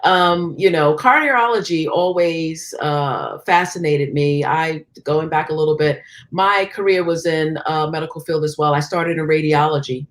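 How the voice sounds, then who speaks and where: A woman's voice: sits low, moderate pace, hardly any pauses. A woman hosting an interview, friendly and chatty, often affirming her guest. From United States. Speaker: interview host. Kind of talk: interview conversation.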